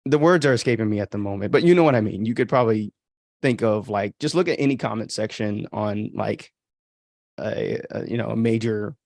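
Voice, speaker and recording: Casual American man with creaky voice, close and dry. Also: fairly low pitch, a little mellow, no room sound. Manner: opinionated, conversational, rambling. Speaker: podcast host and author commenting on own story. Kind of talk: casual livestream commentary.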